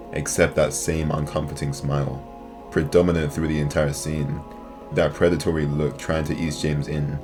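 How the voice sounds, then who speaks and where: A man reading scripted, analytical narration as the host of a video essay. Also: very deep voice. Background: music.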